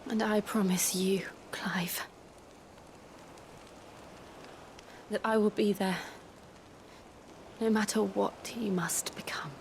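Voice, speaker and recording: A woman playing a gentle, steadfast young heroine in a fantasy game cutscene, speaking softly and breathily in a hushed, earnest way. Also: mid-range voice, fairly even intonation, unhurried, a little hiss or hum, lightly acted. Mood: tender, devoted. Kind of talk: dramatic video game cutscene acting.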